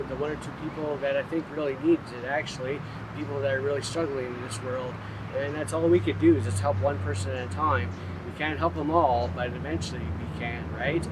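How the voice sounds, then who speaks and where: A man speaking as a motivational speaker and host, in an earnest, plain way with many fillers and restarts. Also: on the high side. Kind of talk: casual heartfelt talk to camera. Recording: outdoor recording with street noise.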